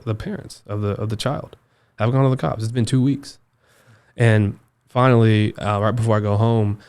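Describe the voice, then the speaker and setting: The guest across the table on a long-form podcast, a young American guy with a lowish voice, reliving his own past in emphatic, stop-and-start fashion. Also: a little mellow. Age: 20s. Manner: halting, emphatic, repeats himself, says dude and man often. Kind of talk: personal storytelling in a casual interview.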